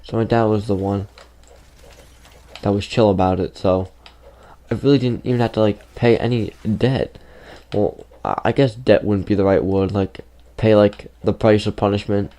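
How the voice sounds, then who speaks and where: A man's voice: lowish voice, moderate pace, warm tone. Rambling teen boy with a fading voice. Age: teens. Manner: rambling, full of "like" fillers. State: losing his voice. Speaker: gaming YouTuber. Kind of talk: casual storytelling over gameplay.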